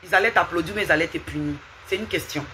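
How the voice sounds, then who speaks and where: Forceful woman scolding on a livestream. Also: on the low side, quick pace, slight background noise. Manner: lecturing, repeats rhetorical questions. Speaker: live streamer. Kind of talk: scolding live-stream rant.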